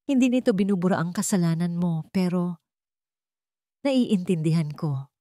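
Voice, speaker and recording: A woman voicing a hurt but tender, forgiving wife in a romance audio story, earnest and measured. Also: lowish voice, lively intonation, warm tone, dry recording, studio quality, natural voice.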